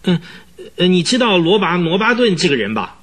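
A man's voice: high voice, big pitch swings, fairly quick, fairly bright tone, full character voice. A man playing an agitated, pleading horse trainer in his mid-twenties in a radio drama, stammering and exclaiming. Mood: surprised, chatty. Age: young adult.

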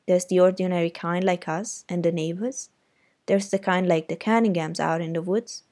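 A woman's voice: fairly low pitch, steady tone, moderate pace, dark tone, close to an everyday voice. A woman reading aloud voices an earnest teenage boy who talks to his younger sister in an eager, confiding way.

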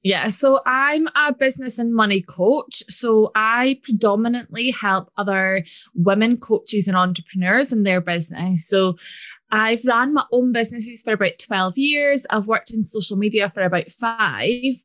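A woman with a Scottish accent, a business and money coach chatting as a podcast guest, talking in a fluent, lively storytelling way. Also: a little high, moderate pace, dry recording, studio quality. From Scotland. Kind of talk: conversational podcast interview.

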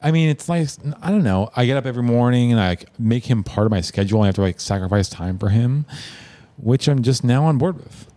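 A man chatting casually with a dry, deadpan manner. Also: medium pitch, fairly quick, no room sound. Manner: dry, deadpan, lots of short agreements. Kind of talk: casual chat.

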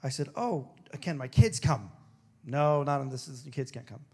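A man preaching a sermon with a throaty, hoarse voice, moving between emphatic declarations and casual, joking asides. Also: medium pitch, animated, normal speed, darkish tone. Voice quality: gravelly, throaty. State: hoarse after singing. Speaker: pastor.

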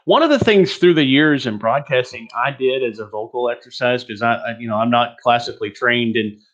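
A man's voice: mid-range voice, fairly quick, a bit bright, highs cut off. A man from Texas presenting an online teaching webinar in a rambling, joking, self-deprecating way.

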